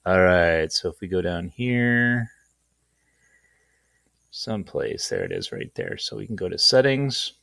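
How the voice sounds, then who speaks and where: A man's voice: low-pitched, sing-song, measured pace, creaky, long pauses, a bit bright, dry recording. A man hosting an informal, off-the-cuff screen-share tutorial in a rambling, self-deprecating way. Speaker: tutorial host.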